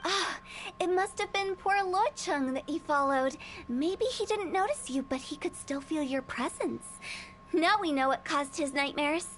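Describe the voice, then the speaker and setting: A woman's voice: high-pitched, unhurried, fairly bright tone, slight background noise. A woman voicing a cheeky, confident young female funeral director in an anime game, playful and self-assured. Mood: explaining.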